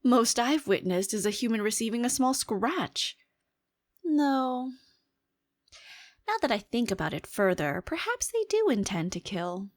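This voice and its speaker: A woman's voice: medium pitch, lightly acted. A woman playing an alien head scientist in a scripted audio roleplay, briefing her commander in a formal, earnest, analytical and emphatic way. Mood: baffled, then thoughtful. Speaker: voice performer. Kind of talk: scripted fictional ASMR roleplay monologue.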